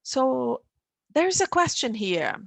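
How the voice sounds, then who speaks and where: A woman moderating a webinar, speaking deliberately with hesitant fillers. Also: sits high, very animated, creaky, no room sound. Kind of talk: formal moderation.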